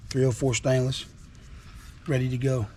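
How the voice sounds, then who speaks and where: A man with a hoarse, worn voice from being sick, casually showing off truck parts with folksy enthusiasm and lots of repeats. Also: medium pitch, level tone, moderate pace, mellow, a little hiss or hum. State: hoarse from illness. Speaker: trucking vlogger. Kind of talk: casual product show-and-tell. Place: outdoors at a house.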